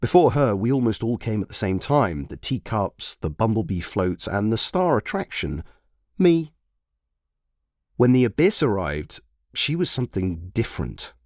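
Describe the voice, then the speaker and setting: Male actor as proud old rollercoaster, low voice, dry close sound, theatrical pauses, nostalgic storybook monologue. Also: big pitch swings, a little mellow, no room sound. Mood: uneasy. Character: proud, nostalgic old rollercoaster, wistful veteran of a theme park. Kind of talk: dramatic first-person storybook monologue.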